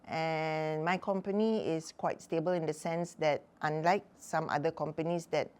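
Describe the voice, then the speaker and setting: A woman in her sixties, a mother on a talk show, telling stories from her life in a relaxed, chatty way with many fillers. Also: low voice, moderate pace. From Malaysia. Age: 60s. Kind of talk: casual chat.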